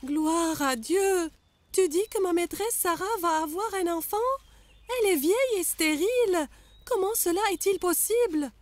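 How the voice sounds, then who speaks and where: A woman's voice: very high-pitched, a little slow, dry recording. A woman voicing a curious, easily astonished maidservant in a dubbed cartoon, with an exclamatory, wondering manner. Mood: amazed. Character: astonished, curious young maidservant of Abraham's household. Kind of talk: cartoon dubbing.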